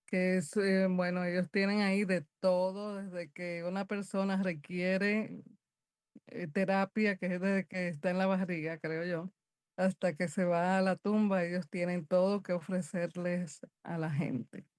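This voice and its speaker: A woman's voice: lowish voice, flat delivery, unhurried, darkish tone, smooth, no echo, clean studio sound. A woman hosting a TV talk show, chatty, playful and a little rambling. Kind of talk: casual chat on TV. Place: TV studio.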